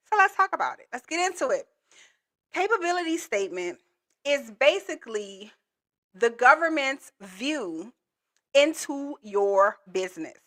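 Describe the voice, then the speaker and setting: A woman coach teaching viewers on a livestream, high-energy and playful, talking straight to her audience. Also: sharp tone. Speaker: government contracting coach.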